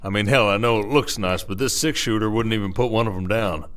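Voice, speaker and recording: A man's voice: fairly low pitch, fairly quick, fairly bright tone, natural voice. Man acting werewolf sheriff, folksy, wry, weary, protective, audio roleplay. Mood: wry, explaining. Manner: folksy, dry-humored, rambling explanations.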